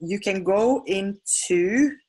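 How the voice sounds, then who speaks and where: Slow, halting woman hosting a webinar. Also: medium pitch, darkish tone, slightly rough, rough recording. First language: not English. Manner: halting, with many fillers and self-corrections. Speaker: feng shui and decluttering teacher hosting a webinar. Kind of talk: live webinar sales pitch and Q&A. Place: home on a small island.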